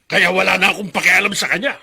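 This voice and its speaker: Radio drama casting: unforgiving elderly patriarch, high-pitched, rough-edged voice, harsh and scolding. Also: male voice, fairly even intonation, quick pace, pushed and bright, heavily acted. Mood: bitter, cold. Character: stern, unforgiving, jobless grandfather, older angry father. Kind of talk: Filipino radio drama acting.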